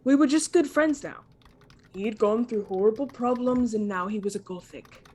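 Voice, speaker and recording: An earnest gothic teenager, voiced by a woman, fairly high and husky. The delivery is dramatic, as in a comic fan fiction reading. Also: normal speed, dark tone, close to an everyday voice. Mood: sulky explaining.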